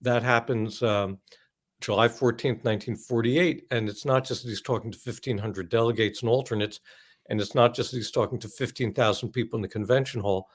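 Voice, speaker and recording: A man's voice: medium pitch, steady tone, fairly quick. An older American man speaking as an author in an interview, explaining things emphatically in long, well-built sentences.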